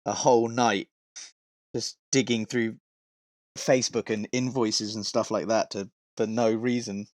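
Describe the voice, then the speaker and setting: A man with a fairly low-pitched voice talks as a guest on a podcast. He tells stories in a loose, rambling, informal style with some swearing. The sound is dry, with very little room on it. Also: flat delivery, unhurried, a little mellow, dry recording. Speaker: podcast guest, touring metal vocalist and tour manager. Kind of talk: casual podcast chat, telling stories from touring.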